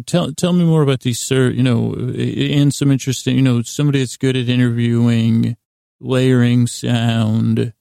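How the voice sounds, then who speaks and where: A man hosting a bedtime sleep podcast, rambling in a halting, soothing way with a gravelly, creaky voice. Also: mid-range voice, dark tone, dry recording, clean studio sound. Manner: meandering, halting, soothing, self-interrupting. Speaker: podcast host.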